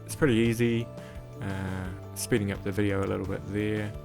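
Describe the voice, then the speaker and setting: A man with a croaky voice casually narrating a woodcarving tutorial in voice-over, rambling with lots of "sort of, like". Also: fairly low pitch, little pitch movement, moderate pace, music in the background. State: croaky voice after illness. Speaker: woodcarving channel host.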